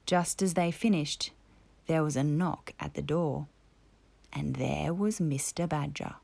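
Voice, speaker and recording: A woman narrating a children's story as a storyteller, in a measured, expressive way. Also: low-pitched, vocal fry.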